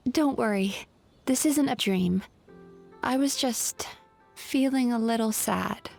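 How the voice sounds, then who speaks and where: A woman playing a gentle, composed dream-eating spirit in a video game, polite and thoughtful in a measured way. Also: a little high, gravelly, faint music behind. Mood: wistful, explaining. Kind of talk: video game character acting.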